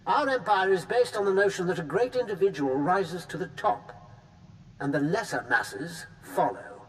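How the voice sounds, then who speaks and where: A man's voice: high-pitched, animated, normal speed, fairly bright tone. A man voicing a bitter, zealous imperial supremacist diplomat in a video game, declaiming with contempt. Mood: outraged, scornful. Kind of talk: scripted video game villain acting. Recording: faint noisy game ambience.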